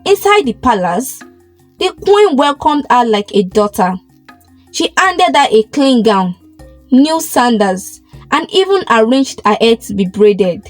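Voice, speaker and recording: A woman storyteller narrates a scripted folktale. Her high voice moves slowly with wide, expressive swings in pitch. Soft music runs underneath.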